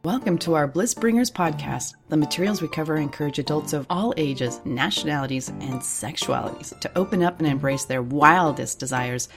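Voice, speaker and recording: Sultry low-voiced woman announcer. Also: moderate pace, few pauses. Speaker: show announcer. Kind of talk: scripted intro. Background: guitar music.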